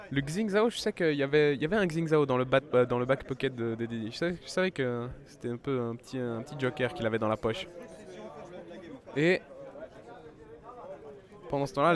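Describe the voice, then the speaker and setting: A man's voice: fairly high pitch, a little fast. A man with a head cold giving live esports commentary, chatty and full of fillers and restarts. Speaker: esports caster.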